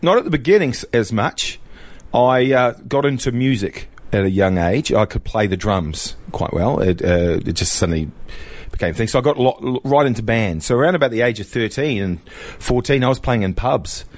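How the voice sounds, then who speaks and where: A middle-aged man from New Zealand, a guest sharing his personal testimony in a relaxed, reflective way, with fillers and restarts. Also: medium pitch, normal speed, no echo.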